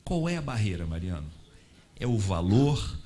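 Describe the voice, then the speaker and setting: Low-voiced male panel moderator. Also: sing-song, normal speed, warm tone. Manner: provocative questioning, long winding questions.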